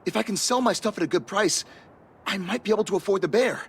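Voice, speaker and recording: Timid, higher-pitched hapless trader. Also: male voice, fast, breathy edge, full character voice. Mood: hopeful, hesitant. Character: timid, hapless traveling trader, fretful man. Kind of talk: video game voice acting.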